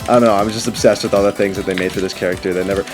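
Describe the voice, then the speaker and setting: A man's voice: low-pitched, level tone, racing. A young adult man chatting casually and enthusiastically in a rambling way, as a gaming host commentating with friends. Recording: background music under the voice.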